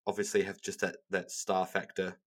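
A man's voice: low voice, monotone, moderate pace. A man co-hosting a podcast, rambling casually with lots of fillers and poking fun at himself. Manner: rambling, self-mocking, full of fillers. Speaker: podcast co-host. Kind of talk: casual chat.

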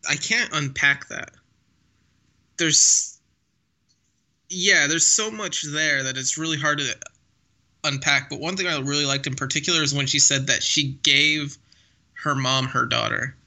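A man co-host offers casual film analysis, repeating words as he goes. His voice is strikingly bright and sharp, pitched a bit high. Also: a little slow, pauses often. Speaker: podcast co-host.

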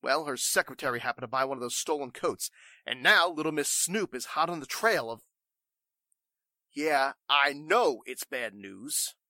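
An actor playing an agitated, scheming crook with folksy slangy talk in a 1940s-style radio drama. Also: male voice, medium pitch, expressive, moderate pace, bright, forceful tone, no room sound. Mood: tense, annoyed. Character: scheming crooked man reporting trouble to an accomplice.

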